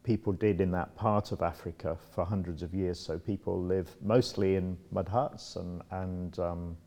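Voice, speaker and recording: A man's voice: low voice, fairly even intonation, normal speed, mellow. A man in his sixties, born in Congo, answering interview questions in a casual, thoughtful way with many restarts and fillers. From Democratic Republic of Congo. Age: 60s. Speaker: interview guest.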